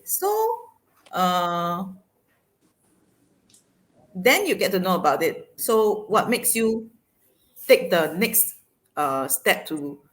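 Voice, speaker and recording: Singaporean lady hosting, kinda low voice, animated, lots of uhs. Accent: Singaporean English. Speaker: host. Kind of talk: casual interview chat. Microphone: video call.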